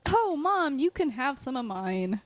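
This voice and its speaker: An actress as a young female student in an audio drama, high-voiced and very expressive. She is excitable and easily flustered, with a sarcastic edge. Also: a little slow. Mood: flustered cheer. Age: young adult. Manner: animated, stumbles over excuses.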